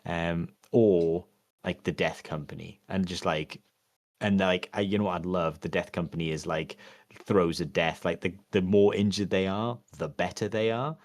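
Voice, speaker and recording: A man talks in a casual podcast chat, his voice sitting very low. He rambles, trails off and restarts, full of fillers. The sound is dry and close, with no room echo. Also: fairly even intonation, moderate pace. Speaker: podcast co-host.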